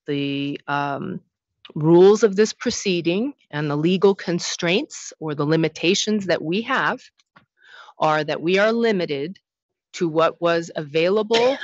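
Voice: mid-range voice, no echo, lo-fi sound. A commission chair laying out the rules of a hearing to an applicant in an explanatory, reassuring way, with many ums. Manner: explanatory, reassuring, filler-heavy. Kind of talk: formal hearing procedure.

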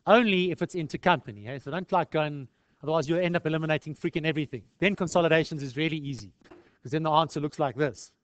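A man's voice: fairly high pitch, fast talker, no room sound. A South African man lecturing in an informal tutorial style, conversational and peppered with rhetorical questions. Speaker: accounting lecturer.

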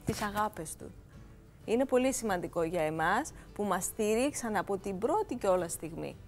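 A woman's voice: mid-range voice, expressive, faint music behind. A woman in her forties, a grooming salon owner, talking eagerly in a TV studio interview on a lapel mic.

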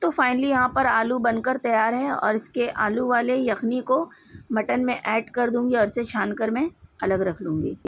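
High-pitched Indian woman giving cooking instructions. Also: fairly even intonation, unhurried, slight background noise. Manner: instructional, methodical. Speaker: home cook presenting a recipe. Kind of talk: step-by-step cooking tutorial narration.

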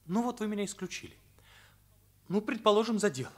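A man's voice: sits high, animated, a little fast. An actor playing a troubled, sincere ninth-grade schoolboy in a radio drama, earnest, searching and hesitant in his delivery. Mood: challenging. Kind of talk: Soviet radio drama acting.

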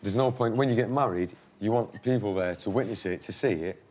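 A man's voice: fairly low pitch, fairly quick, darkish tone. A man, talking casually and bluntly about planning his wedding at home. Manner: blunt, jokey. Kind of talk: casual chat. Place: living room at home.

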